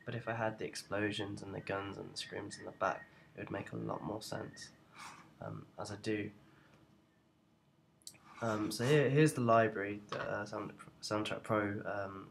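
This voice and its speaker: A young adult man giving an informal, unscripted software tutorial in a hesitant, rambling way, as a presenter walking through each step. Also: on the low side, expressive, moderate pace, gravelly. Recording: noticeable background noise.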